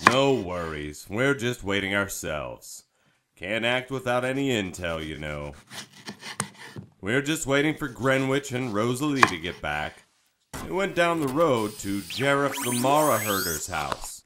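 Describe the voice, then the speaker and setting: A man's voice: medium pitch, sing-song, measured pace, background noise. A man acting as an easygoing, practical, self-deprecating spaceship crew leader in an audio drama. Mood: relaxed, explanatory.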